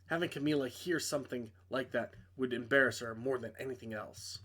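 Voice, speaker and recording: A man's voice: mid-range voice, fairly quick, a bit bright, slightly rough. A man reads story text from a visual novel aloud in a dramatic narrating style. He trips over words now and then, corrects himself, and drops in his own offhand comments. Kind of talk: dramatic reading with off-the-cuff asides.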